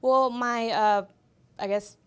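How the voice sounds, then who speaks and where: A young woman from Venezuela, a school mental health counselor, answering interview questions in a soft, hesitant way with many fillers. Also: fairly high pitch, steady tone, takes it slowly, clear, resonant tone. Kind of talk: interview guest, casual.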